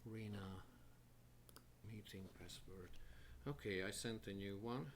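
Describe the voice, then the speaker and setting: A man hosting a live show from his home studio, talking haltingly and restarting as he sorts out his setup. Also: on the low side, a little hiss or hum. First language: Hungarian. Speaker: host of a live online show. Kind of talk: casual live-stream talk to viewers.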